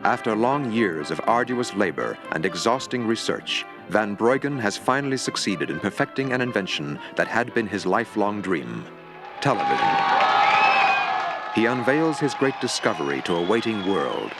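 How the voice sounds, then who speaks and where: A man's voice: on the low side, big pitch swings, moderate pace, crowd noise. A man narrating a mock documentary in a grave, dramatic tone. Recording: music underneath.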